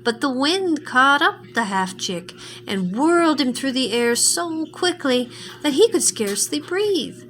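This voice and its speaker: Slow older woman reading a bedtime story. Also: high voice, slight background noise. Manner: slow, gentle, expressive. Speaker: grandmother storyteller. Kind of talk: bedtime story read-aloud.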